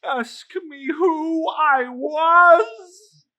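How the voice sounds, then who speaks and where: A woman voicing an anguished, mournful male ghost in chains, with drawn-out, wailing, solemn lines in a dramatic storybook reading. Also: high-pitched, pushed and bright, highs cut off, voice pushed up, exaggerated voice. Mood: grave, wailing.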